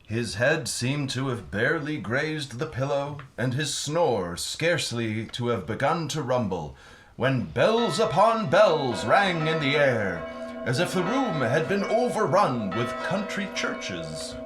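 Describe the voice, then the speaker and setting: A man's voice: mid-range voice, sing-song, takes it slowly. A man narrating a radio play like a storybook, with dramatic, mock-literary delivery. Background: music.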